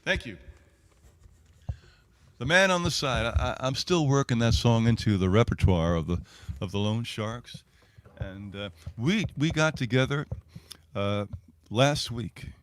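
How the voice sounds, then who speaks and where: A middle-aged or older man, a musician, chatting casually to an online audience in a halting, rambling, reminiscing way. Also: medium pitch, lively intonation, dry recording. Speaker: singer and musician hosting a livestreamed set. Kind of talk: casual between-song chat to an online audience.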